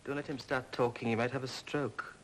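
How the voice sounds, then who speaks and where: An actor playing a mocking, cruel young Roman prince, with a theatrical 1930s film delivery. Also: male voice, medium pitch, steady tone, a little fast, natural voice. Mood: sneering. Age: young adult. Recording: hissy vintage 1930s film soundtrack.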